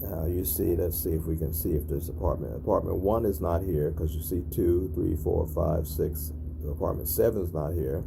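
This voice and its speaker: A man's voice: low-pitched, moderate pace, gravelly, hardly any pauses, noisy background. A man giving a casual on-site demonstration, matter-of-fact and repetitive, often checking in with short tag questions. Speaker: narrator documenting an intercom test. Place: at a building's entry intercom panel.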